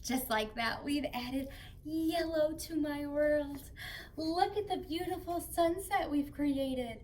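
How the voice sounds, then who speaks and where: A woman's voice: high voice, a little hiss or hum. A woman hosting a scripted read-along for children.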